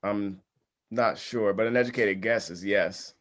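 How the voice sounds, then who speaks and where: A man's voice: lowish voice, unhurried, fairly bright tone. A man acting a reluctant, wry groom-to-be in an understated screenplay table read. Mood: guarded. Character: reluctant, dryly wry groom-to-be among friends.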